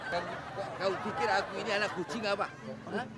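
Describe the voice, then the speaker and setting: An actor playing a bossy boss, blunt and commanding, in a TV sitcom with music behind. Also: male voice, high-pitched, steady tone, a little fast, heavy background noise, natural voice. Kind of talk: sitcom acting.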